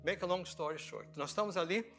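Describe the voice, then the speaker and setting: Brazilian male pastor, conversational sermon storyteller, bright and forceful delivery, faint music underneath. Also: high voice, a little fast. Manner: conversational storytelling, acting out dialogue, asking rhetorical questions. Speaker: pastor preaching. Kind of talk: informal sermon with personal anecdotes.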